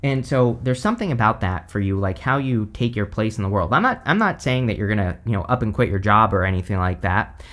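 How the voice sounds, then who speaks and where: Man hoarse from losing his voice. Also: fairly low pitch, animated, a little fast, fairly bright tone. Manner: rambling, full of "like", "you know" and "right?". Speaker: tarot reader. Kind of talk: casual tarot reading to camera.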